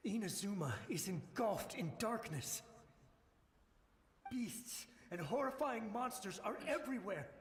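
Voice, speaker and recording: An actor playing a traumatized soldier's ghost, desperate and pleading. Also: male voice, high voice, expressive, a little slow, roomy sound, a little hiss or hum. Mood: panicked. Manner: panicked, pleading. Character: shell-shocked soldier apparition, desperate. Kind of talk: game voice acting.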